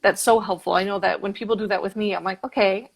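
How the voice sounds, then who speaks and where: A woman's voice: medium pitch, steady tone, quick pace, creaky. A woman in casual interview conversation, talking in an agreeable, informal, explanatory way.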